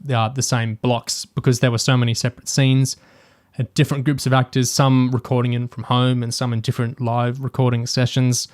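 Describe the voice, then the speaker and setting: A man's voice: mid-range voice, level tone, fairly quick, no room sound, studio quality. A man co-hosting a podcast, talking casually and analytically through a review, hesitantly thinking aloud as he goes. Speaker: podcast co-host.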